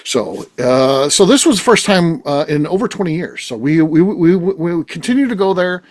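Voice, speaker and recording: A man's voice: a little high, expressive, moderate pace. A man in his fifties hosting a podcast, chatting casually and rambling with many restarts and fillers. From United States. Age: 50s. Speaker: podcast host. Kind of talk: casual chat.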